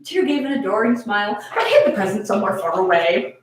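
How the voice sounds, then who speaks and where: A woman's voice: mid-range voice, sing-song, quick pace, background noise. A woman reading a comedic fan fiction story aloud in a theatrical, amused way. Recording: very echoey room, far from mic.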